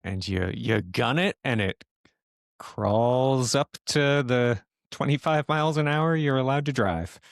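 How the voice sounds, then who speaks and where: A man acting as game master, improvising casual narration of a tabletop game in halting phrases. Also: medium pitch, gravelly, dry recording, clean studio sound.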